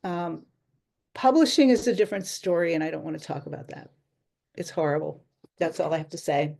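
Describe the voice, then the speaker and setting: A woman author answering interview questions in a chatty, rambling way, often pausing and laughing lightly. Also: low-pitched, creaky, warm tone.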